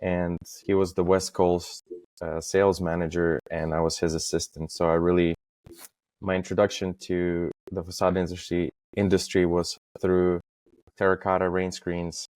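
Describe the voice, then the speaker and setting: Low-voiced man, very even in pitch. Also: normal speed, dry recording. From Estonia. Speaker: podcast guest, facade industry professional. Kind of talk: casual interview, recounting career.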